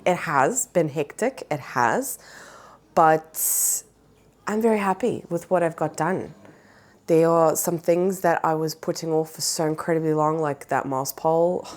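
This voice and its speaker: Vlogger mom, 30s, deep for a woman, nasal from a cold, chatty, fillers throughout. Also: a little mellow. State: recovering from a cold. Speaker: plant vlogger, mother. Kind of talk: casual chat.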